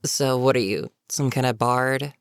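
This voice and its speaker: A voice actor playing a bitter, menacing goblin captain in a fantasy audio roleplay, speaking to a captive with sardonic, unhurried menace. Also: medium pitch, smooth, dry recording, clean studio sound, natural voice. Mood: smug, matter-of-fact. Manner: sardonic, unhurried, matter-of-fact threats. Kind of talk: scripted fantasy audio roleplay, addressing the listener.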